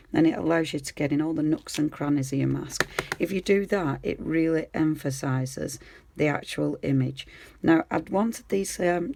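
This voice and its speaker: Croaky woman demonstrating a craft. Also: sits low, a little mellow, slight background noise. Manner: chatty, easygoing, talks while working. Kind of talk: casual hands-on tutorial.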